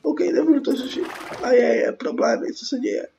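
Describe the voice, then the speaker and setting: A woman's voice: high voice, vocal fry, dark tone. A young woman with a Czech accent and a cold, casually commenting on a game in a rambling, slangy, sarcastic way as host. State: sick with a cold. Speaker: gaming video host. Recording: dull lo-fi voice track over music. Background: game music.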